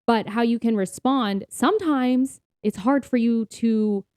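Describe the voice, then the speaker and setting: A woman's voice: a little high, unhurried, darkish tone, no room sound, clean studio sound. A woman co-hosting a casual faith podcast, talking in an earnest, encouraging way. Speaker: podcast co-host.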